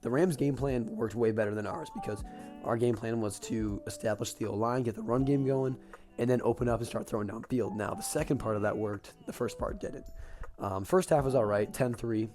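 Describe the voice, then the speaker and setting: A young man with a raspy voice, getting over an illness, excitedly recapping a football game as a podcast host, with casual fillers. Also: medium pitch, brisk, over music. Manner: excited fan talk with fillers.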